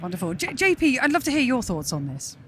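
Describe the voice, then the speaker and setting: A woman's voice: high voice, big pitch swings, fast, a little hiss or hum. A woman hosting a live conference podcast, effusive and full of praise as she introduces and questions her guests. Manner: warm, effusive and gushing with praise. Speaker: podcast host.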